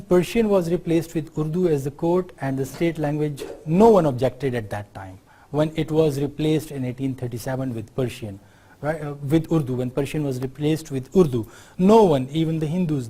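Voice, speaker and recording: A middle-aged man with a Pakistani accent giving an unscripted university lecture, often pausing on fillers and checking in with "right?". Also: a little high, dark tone. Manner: unscripted explaining, many fillers, tag questions like "right?".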